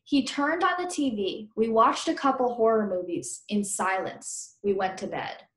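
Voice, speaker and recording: American woman, teacher read-aloud, fairly high voice, simple questions to young listeners, roomy webcam sound. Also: moderate pace. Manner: explains and asks listeners questions in simple words. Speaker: teacher reading aloud to pupils. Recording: roomy, echoing webcam recording.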